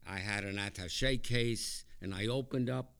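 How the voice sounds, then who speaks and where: An older man giving a personal testimony to a group, speaking in halting phrases with dry asides. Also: fairly low pitch, creaky, a bit bright, clean tone. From Hungary. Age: older, born 1944. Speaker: speaker at a recovery meeting, lawyer.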